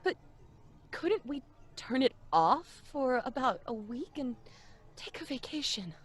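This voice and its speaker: A woman's voice: sits high, very animated, slow pace, full character voice. An anxious, frightened mother. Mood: weary. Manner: tremulous and breathy with worry. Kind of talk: live audio drama performance.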